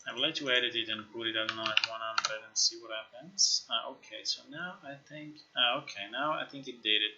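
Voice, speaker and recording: Very bright-voiced man thinking aloud on stream. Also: mid-range voice, steady tone, dull audio, slight background noise. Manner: thinks aloud, informal, halting. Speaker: live streamer, game developer. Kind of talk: casual live-stream chat while demonstrating software.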